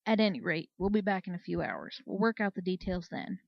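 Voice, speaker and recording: A woman voicing a dry-humored male pegasus commander in a storybook, firm, deliberate and teasing. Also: on the low side, animated, creaky, no room sound, lo-fi sound. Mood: businesslike.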